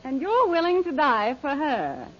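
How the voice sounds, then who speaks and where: A woman's voice: sits high, huge pitch swings, unhurried, exaggerated voice. An actress playing a haughty, mocking, imperious villainess who commands her henchmen in an old-time radio adventure serial. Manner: imperious, sardonic. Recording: muffled, noisy old radio recording.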